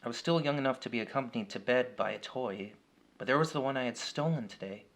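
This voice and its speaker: A man's voice: mid-range voice, quick pace, a bit bright. A man reading a scripted first-person horror story as its storyteller. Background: music.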